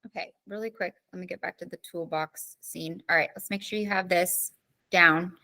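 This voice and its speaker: Brief: a female teacher with a bright, crisp voice in a chatty, informal class recap. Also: on the low side, steady tone. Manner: chatty, with fillers and quick asides.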